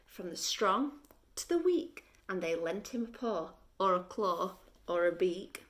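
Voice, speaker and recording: Slow, expressive woman reading a storybook. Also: on the low side, a little hiss or hum. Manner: measured, deliberate, with dramatic pauses. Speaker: teacher reading a story to children.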